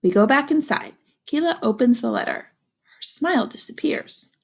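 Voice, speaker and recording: A woman's voice: sits high, lively intonation, moderate pace, slightly rough. A woman reading a storybook aloud to young children, expressively, with asides to her listeners. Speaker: storybook reader.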